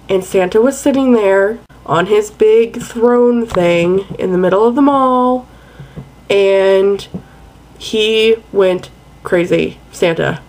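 A woman's voice: medium pitch, a little mellow, slight background noise, low-quality recording. A young woman chatting casually and playfully to her webcam in a bedroom at night. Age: young adult. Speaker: vlogger. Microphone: webcam at close range.

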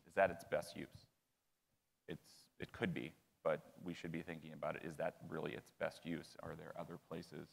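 Male panelist; very low, monotone, gravelly voice; reverberant hall. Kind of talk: conference panel talk. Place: large conference hall stage.

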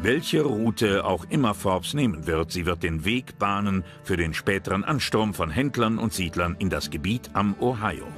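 A man's voice: deep voice, lively intonation, normal speed, few pauses. A man reading scripted narration for a history documentary. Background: background music.

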